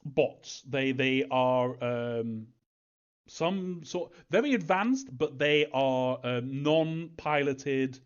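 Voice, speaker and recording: A man's voice: medium pitch, very slow, smooth. A man answering fan questions casually as a game developer, reading them aloud and replying in a hesitant, chuckling, evasive way. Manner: hesitant, chuckling, evasive about story details. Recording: dull, lo-fi audio.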